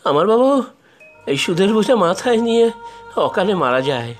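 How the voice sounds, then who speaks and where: A moneylender's bold henchman in a village cartoon. His delivery is dramatic and pointed, with extremely lively swings in pitch. Faint music plays behind him. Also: brisk. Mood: earnest. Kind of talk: Bengali cartoon voice acting.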